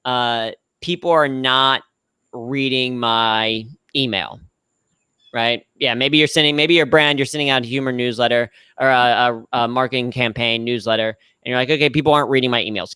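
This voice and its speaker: A man's voice: medium pitch, fairly even intonation, normal speed, sharp tone, clean tone, dry recording. A young adult man with a slightly nasal voice, a guest explaining humor at work in an upbeat, self-deprecating way. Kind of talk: conversational interview answers.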